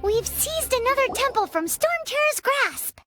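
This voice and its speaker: A woman voicing a tiny, perky, childlike fairy companion in a video game, chirpy and squeaky. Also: big pitch swings, unhurried, exaggerated voice. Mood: cheerful. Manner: chirpy, talks in third person. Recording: prominent game music behind the voice.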